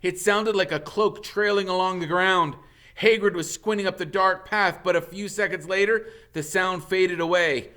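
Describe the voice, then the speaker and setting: A man's voice: sits high, steady tone, normal speed, sharp tone. A man reading a storybook aloud as a teacher would, in dramatic narration.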